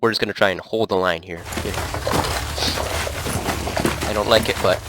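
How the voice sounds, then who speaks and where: A man's voice: fairly low pitch, moderate pace. A man with a stuffy nose and a cough, casually rambling as he plays a strategy game. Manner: relaxed, rambling, thinks aloud. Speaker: gameplay commentator. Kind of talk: casual let's play commentary. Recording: loud background noise.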